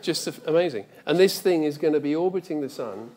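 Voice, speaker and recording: A man's voice: a little high, expressive, a little fast, a little mellow. A middle-aged man speaking reflectively and emphatically as a guest in an on-stage conversation. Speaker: guest, audio drama director. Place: large lecture theatre stage.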